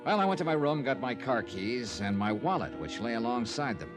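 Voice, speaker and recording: An actor playing a wry, hard-boiled insurance investigator in a 1950s radio drama, with punchy, clipped delivery. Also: male voice, mid-range voice, very animated, a little fast, natural voice. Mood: wry narrating. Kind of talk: 1950s radio detective acting and narration. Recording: old radio recording with music.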